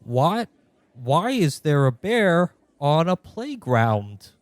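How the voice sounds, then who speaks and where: A man playing a smug, self-serious poet with a precious, deliberate delivery in a comic radio play. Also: a little high, big pitch swings, very noisy. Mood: precious reciting.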